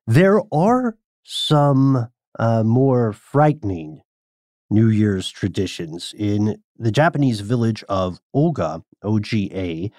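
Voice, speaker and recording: A man with a Southern American accent, co-hosting a casual podcast and telling stories in a lively way. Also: on the low side, slow, dry recording, studio quality. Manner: lively storyteller, acts out quotes. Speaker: podcast co-host.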